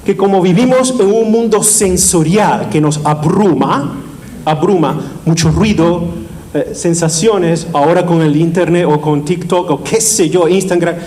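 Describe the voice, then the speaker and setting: A priest preaching a sermon, asking the audience questions and repeating key phrases for emphasis. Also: male voice, high-pitched, a little slow, darkish tone.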